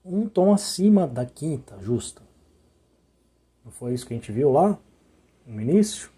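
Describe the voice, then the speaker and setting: Hoarse man teaching music intervals. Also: a little high, big pitch swings, unhurried, mellow. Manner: sings interval notes to show them; checks in often with short questions. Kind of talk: sung and spoken music lesson.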